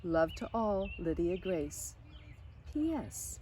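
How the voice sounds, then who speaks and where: A woman reading a children's picture book aloud in an expressive way, now and then speaking directly to her listeners. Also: fairly low pitch, a little hiss or hum. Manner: expressive, pausing for short asides to listeners. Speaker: storybook reader.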